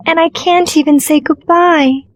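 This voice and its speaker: A woman's voice: very high-pitched, fairly even intonation, unhurried. A woman voicing a young, wistful, lovestruck mermaid princess in a storybook tale, speaking tenderly. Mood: sad. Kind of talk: storybook character acting.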